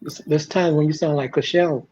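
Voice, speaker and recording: A man's voice: fairly high pitch, fairly even intonation, moderate pace, a little mellow, husky. A man from Florida joining a casual livestream as a guest, easygoing and teasing, repeating himself. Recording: dull lo-fi sound.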